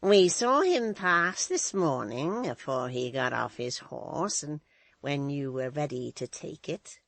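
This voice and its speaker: A reader voicing a sly, prying old woman in an audiobook, slow and coaxing with a breathy edge. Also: medium pitch, animated, a bit bright, no room sound, full character voice. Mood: slow, wheedling. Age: older. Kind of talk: audiobook character voice.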